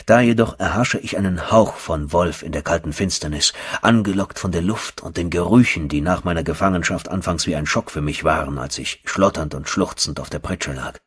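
A male audiobook narrator with a very low, rough-edged voice. He reads a first-person horror story dramatically, brooding and theatrical in his delivery. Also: moderate pace.

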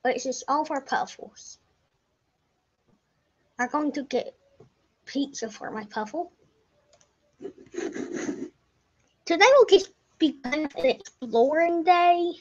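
A young woman talks slowly over her gameplay, her voice a little high and unhurried. She rambles and corrects herself, now and then sinking to a whisper. The home mic sounds dull and lo-fi. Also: animated. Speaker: gameplay narrator. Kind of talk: casual game commentary. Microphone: desk microphone.

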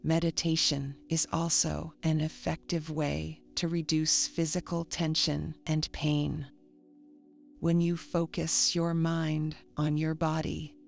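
Slow, low-pitched woman guiding meditation. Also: creaky. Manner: calm, soothing, measured. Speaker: meditation guide. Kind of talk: scripted guided meditation narration. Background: faint music.

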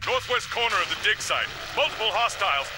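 An actor playing an excitable special forces soldier in a video game. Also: male voice, sits high, brisk, music in the background, low-quality recording. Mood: alarmed. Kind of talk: video game cutscene acting.

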